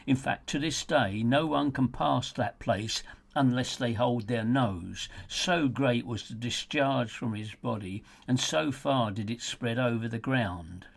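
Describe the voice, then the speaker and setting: A man reading his own audiobook in a theatrical, sardonic, emphatic storytelling manner, with a slightly husky voice. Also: medium pitch, measured pace. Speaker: author narrating own audiobook. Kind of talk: scripted audiobook narration.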